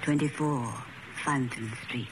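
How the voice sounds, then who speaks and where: An actor playing a solicitous, politely insistent acquaintance in a radio drama. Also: male voice, medium pitch, steady tone, unhurried, lightly acted. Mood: solicitous, inviting. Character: persuasive, solicitous night-owl acquaintance. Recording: noisy old radio drama recording.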